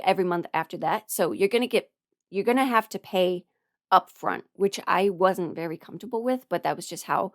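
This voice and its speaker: A young woman speaks in a slightly low voice, close and dry with no room sound. She rambles candidly and confidingly, halting and restarting as she works through her thoughts. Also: no echo. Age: young adult. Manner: halting, self-quoting, frank and confiding. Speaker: voice performer sharing a personal ramble.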